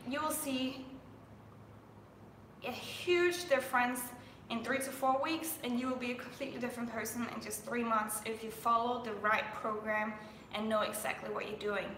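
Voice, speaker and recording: A woman's voice: on the high side, fairly even intonation, moderate pace, fairly bright tone, roomy sound, a little hiss or hum, rough recording. A young woman from Denmark, a fitness coach chatting on a live stream, full of fillers and restarts.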